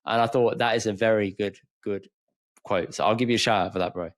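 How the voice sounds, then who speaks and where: A man with a fairly low voice hosts a laid-back podcast. He talks easily and laughs often, wrapping up with friendly shout-outs. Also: steady tone, quick pace. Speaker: podcast host. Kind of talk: casual podcast chat.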